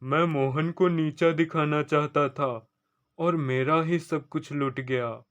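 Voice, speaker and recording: A man playing an envious, greedy young villager in a cartoon fable, with deliberate, declamatory delivery. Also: on the high side, fairly even intonation. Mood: distraught, regretful. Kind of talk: scripted cartoon story dialogue. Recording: slightly dull lo-fi studio track.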